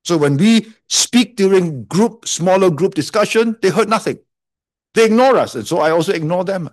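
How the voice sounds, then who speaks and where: A pastor preaching a sermon in a conversational way, with joking asides and repeated phrases for emphasis. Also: male voice, high voice, expressive, normal speed.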